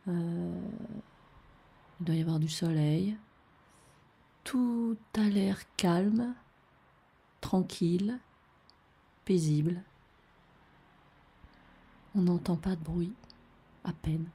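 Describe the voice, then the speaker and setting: A woman describing a photograph off the cuff, tentative and halting as she thinks aloud. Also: fairly low pitch, mellow, slightly rough. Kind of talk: unscripted spoken description of a photo.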